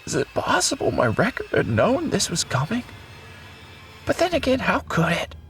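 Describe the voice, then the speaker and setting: A man playing a doomed blast survivor recording his last words in an audio drama, raspy, halting and breathy. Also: high voice, huge pitch swings, fairly bright tone, soft background music, voice pushed up, exaggerated voice. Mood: shaken. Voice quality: raspy, coughing. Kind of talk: dramatic audio story monologue.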